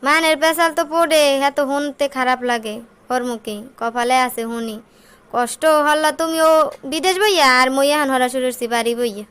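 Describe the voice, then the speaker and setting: A woman's voice: sits high, moderate pace, fairly bright tone, smooth. A woman with a Barisal-region Bangladeshi accent playing a nagging, weary wife in a comic cartoon, scolding and lamenting. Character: nagging, weary working wife of a jobless man. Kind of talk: comic cartoon dialogue in rural dialect.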